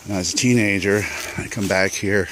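A middle-aged man from Canada giving a relaxed, unhurried commentary outdoors as he hikes along overgrown rural trails and films on a handheld camera. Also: on the low side, noisy background. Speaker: vlogger. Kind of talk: casual walking narration.

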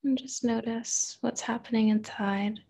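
A woman therapist leading a guided meditation in a gentle, unhurried manner, with pauses and open questions. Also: on the high side, fairly even intonation, husky.

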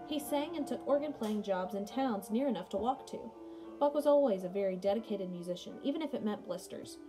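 A young adult woman giving a casual read-aloud lesson as a music teacher, mixing read passages with chatty, informal asides. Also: fairly high pitch. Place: small room at home, indoors. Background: music playing behind the voice.

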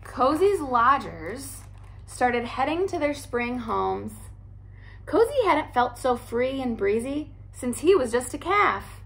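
Expressive young woman reading a storybook. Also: high voice, slow pace, vocal fry. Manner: animated, expressive storytelling with character voices. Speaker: teacher reading aloud. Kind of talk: storybook read-aloud. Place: living room by a fireplace, indoors.

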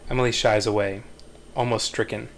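A man's voice: fairly low pitch, steady tone, fairly quick, a little hiss or hum. A man reading stage directions as scripted narration at a screenplay table read.

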